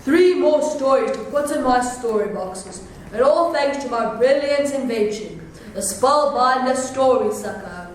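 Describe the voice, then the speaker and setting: A woman's voice: sits high, animated, measured pace. A woman playing a boastful, taunting male villain inventor in an amateur readers theatre story. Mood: gloating. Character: gloating villainous male inventor, Doctor Spellbinder. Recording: echoey room, distant mic, faint noise.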